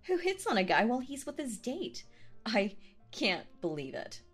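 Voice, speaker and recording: A woman's voice: fairly high pitch, very animated, normal speed, breathy edge, soft background music. A woman playing a sweet but possessive, obsessive young woman on a date in a roleplay audio, stammering nervously and prone to jealousy. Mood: indignant, apologetic. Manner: flustered, stammering, nervous giggles, swinging to jealous. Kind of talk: roleplay audio acting, intimate one-sided dialogue.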